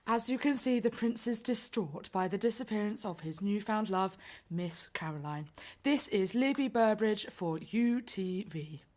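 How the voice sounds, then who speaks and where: A woman's voice: mid-range voice, animated, measured pace, a little mellow, pitched below the performer's own voice, natural voice. A woman acting a pushy, gushing television reporter in a radio play, prying and presenterly. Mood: earnest reporting.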